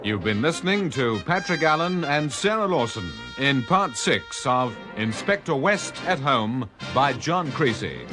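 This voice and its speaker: A man giving a formal, measured radio continuity announcement. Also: medium pitch, very animated. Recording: announcer over music.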